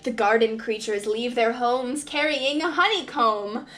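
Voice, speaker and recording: A woman reading a rhyming children's storybook aloud as a preschool teacher, with dramatic, expressive, singsong delivery. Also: on the high side, a little slow, a little hiss or hum.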